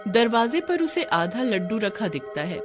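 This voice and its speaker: A storyteller delivers scripted horror narration in a dramatic style. The intonation is lively and varied, and music plays clearly behind the voice. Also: medium pitch, normal speed.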